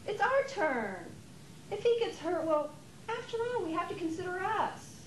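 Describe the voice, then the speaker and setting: A woman's voice: extremely high pitch, lively intonation, measured pace. A woman playing a scheming, impatient wife who coaxes and persuades in an amateur stage play. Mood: insistent, pleading. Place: theater during a rehearsal. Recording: echoey, noisy 1980s theater rehearsal tape.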